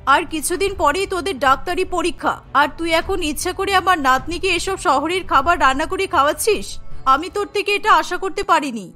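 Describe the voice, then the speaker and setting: A woman's voice: very high-pitched, a little fast, bright, forceful tone. A woman voicing a stern, scolding old village grandmother in a cartoon story. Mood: scolding, hurt. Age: older. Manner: reproachful, admonishing. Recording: music behind the voice.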